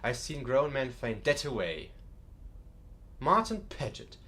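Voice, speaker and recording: A man's voice: mid-range voice, big pitch swings, takes it slowly, pushed and bright, full character voice. A man playing a genial, wry museum curator in an audiobook drama, chatty and dryly joking with a macabre humour. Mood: wryly amused.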